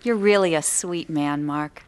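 A woman's voice: sits low, a little slow, a little mellow. An actress playing an affectionate, practical girlfriend in a radio drama, sincere and easily hurt. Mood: affectionate, playful.

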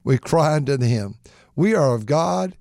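Raspy male preacher, high and dry-miked, impassioned and repetitive. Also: animated, a little slow, a little mellow, no room sound. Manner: impassioned, repeats words, quotes scripture in old English. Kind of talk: sermon.

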